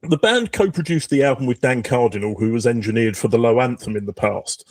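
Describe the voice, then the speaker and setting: Casting: male interviewer, mid-pitched, measured and friendly, dry close recording. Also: animated, dry recording. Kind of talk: interview questions.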